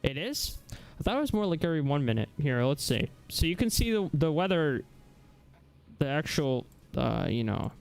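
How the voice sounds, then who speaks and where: A man hosting a casual live software demonstration, talking haltingly as he searches for words. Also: fairly high pitch, very animated, creaky. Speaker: livestream host. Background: music.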